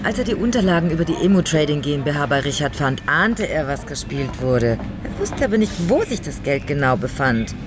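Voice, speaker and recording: A woman's voice: low voice, very animated, normal speed, few pauses, background noise. An actress playing a composed, matter-of-fact woman who explains a solved crime in a radio drama, with a dry manner.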